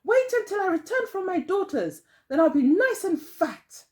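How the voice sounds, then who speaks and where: A woman's voice: very high voice, big pitch swings, normal speed, a little mellow, pitched above the performer's own voice. A woman voicing an anxious old mother in a children's tale, pleading in a plaintive sing-song.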